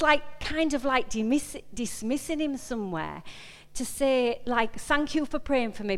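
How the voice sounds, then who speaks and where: A woman with a Yorkshire accent giving an informal, personal sermon, emphatic and conversational, repeating phrases and putting questions to her listeners. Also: on the high side. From Yorkshire, England. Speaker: preacher. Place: large indoor meeting room. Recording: echoey hall with long reverb.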